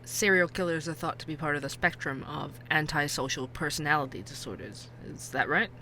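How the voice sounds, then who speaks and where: A man's voice: on the high side, brisk, bright, forceful tone, slight background noise. A man playing an inquisitive, earnest true-crime podcast host, delivering scripted narration. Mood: informative.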